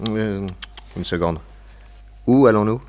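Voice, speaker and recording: A man's voice: lowish voice, slow pace, a little mellow. A man playing a suave, confident, commanding detective in a French radio crime drama. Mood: cool, probing.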